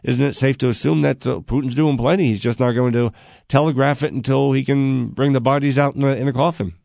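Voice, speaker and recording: A male talk radio host asks long, meandering questions and pauses often to search for words. His voice sits at a middling pitch. The studio sound is close and dry. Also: fairly even intonation, gravelly, darkish tone, no room sound. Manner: rambling, halting questions with fillers. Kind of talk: radio interview.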